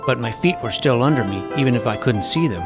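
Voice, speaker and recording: An earnest, awkward boy adventurer, played by a man, recounts his tale in a medium-pitched voice. The storytelling is wordy and vivid, with clear music underneath, in the manner of a fantasy audio drama. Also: lively intonation, fast, natural voice. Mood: recounting, uneasy. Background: prominent music.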